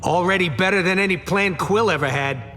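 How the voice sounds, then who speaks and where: A man voicing a gruff, trigger-happy space creature in a video game, sarcastic and needling. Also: high voice, fairly quick, pushed and bright, slight background noise. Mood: cocky. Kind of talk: video game character acting.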